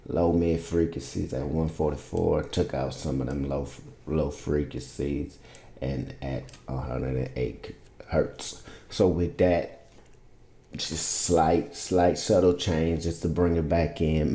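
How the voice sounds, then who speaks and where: Male producer, bass-heavy voice, easygoing and rambling, roomy home-studio sound. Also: warm tone. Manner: laid-back, rambling, loose and informal. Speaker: music producer teaching vocal mixing. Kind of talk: casual screen-share tutorial. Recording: roomy echo.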